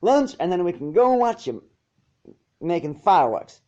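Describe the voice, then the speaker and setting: A man's voice: high-pitched, sing-song, normal speed, mellow, dull audio, voice pushed up. A man voicing a cheerful, friendly female farm pony in a story.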